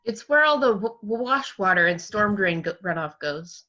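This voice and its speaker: A table reader voicing a quiet, earnest boy who stutters. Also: mid-range voice, animated, moderate pace. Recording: dull lo-fi with faint noise.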